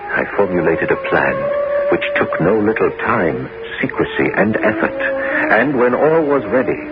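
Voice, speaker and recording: An actor playing a smooth, scheming French ex-musketeer bishop in a 1970s radio drama, with measured, courtly, theatrical delivery. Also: male voice, lowish voice. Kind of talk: 1970s radio drama acting and first-person narration. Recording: noisy, dull 1970s radio recording.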